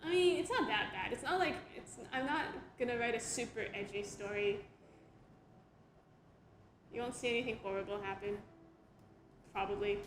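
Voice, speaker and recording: A woman's voice: on the high side, normal speed, pauses often, a little hiss or hum. Laughing young woman on an echoey stream. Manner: laughs often, self-deprecating, reads out viewer questions. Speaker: webcomic artist answering chat questions. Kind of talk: casual livestream chat. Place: home room while drawing. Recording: echoey room with music behind.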